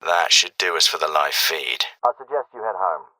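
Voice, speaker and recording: An actor playing a gruff, sardonic British special forces team leader in a video game, giving terse, dry military commands. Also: male voice, low voice, animated, moderate pace, pushed and bright, no echo, exaggerated voice. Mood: calm, low. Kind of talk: military radio chatter and commands.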